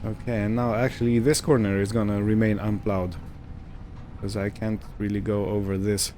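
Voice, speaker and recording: A man giving casual let's-play commentary on a video game, musing to himself in an unhurried way. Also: lowish voice, flat delivery, mellow, a little hiss or hum. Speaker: gamer narrating own farming simulator playthrough.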